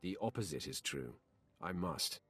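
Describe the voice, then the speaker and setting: Deep, husky, swooping Sith warrior. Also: male voice, lively intonation, normal speed, fairly bright tone, faint music behind, exaggerated voice. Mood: resolute, calm. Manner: cool, self-assured, menacing. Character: confident, fearless male Sith warrior. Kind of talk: video game voice acting.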